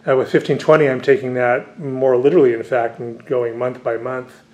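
A man's voice: mid-range voice, fairly even intonation, brisk, a little mellow. A man who grew up speaking Dutch, a visiting scholar and author answering interview questions at length, with many fillers and restarts.

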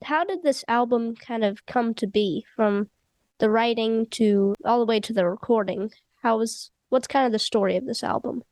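A woman's voice: a little high, moderate pace, a little mellow, no room sound. A woman hosting an interview podcast, sounding nasal from a cold and asking easygoing questions. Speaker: podcast host.

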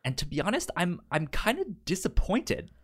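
Halting, stammering man chatting casually. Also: high voice, wildly swooping pitch, a bit bright. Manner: halting, stammers and repeats words. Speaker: film podcast co-host. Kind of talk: casual film discussion.

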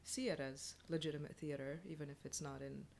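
A woman's voice: low voice, little pitch movement, fairly quick, gravelly, a little mellow, a little hiss or hum. A woman chatting casually as a playwright guest on a panel, explaining things informally in short phrases.

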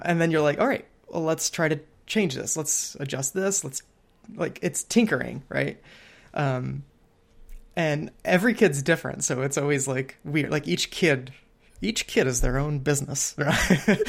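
A man with a fairly high voice and a lot of vocal fry chats casually on a podcast. He rambles as he thinks aloud, slipping into quoted asides as he goes. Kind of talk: casual conversational podcast chat.

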